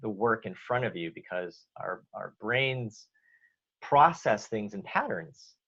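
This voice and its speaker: A man with a Canadian accent, a guest answering interview questions in a relaxed way, with many fillers and restarts. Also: on the low side, slow pace, gravelly, breathy edge. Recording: dull lo-fi audio.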